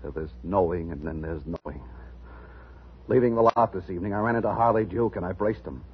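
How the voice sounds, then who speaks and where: An actor playing a bitter, resentful young husband in his twenties in 1950s radio drama, brusque and husky-voiced. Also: deep voice, brisk, a little hiss or hum, natural voice. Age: 20s. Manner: brusque, swinging from sullen to heated outbursts. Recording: dull, lo-fi 1950s radio recording.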